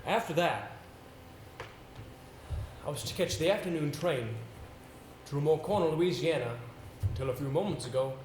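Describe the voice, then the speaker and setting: Man acting earnest young gentleman, formal, deliberate, declamatory, slightly raised pitch, stage drama, echoey room. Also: lively intonation, lightly acted. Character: earnest, confident young gentleman, theatrical and persuasive. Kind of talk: amateur stage play acting. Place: indoor theatre stage. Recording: echoey stage recording with background hiss.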